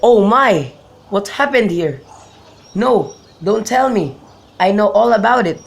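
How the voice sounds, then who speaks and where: A man's voice: high-pitched, expressive, slow, creaky, heavily acted. A young man playing a lovesick, brooding youth in an amateur radio drama of modern-English Shakespeare, with birdsong behind him. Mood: agitated, anguished. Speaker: student actor. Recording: faint background noise with birdsong.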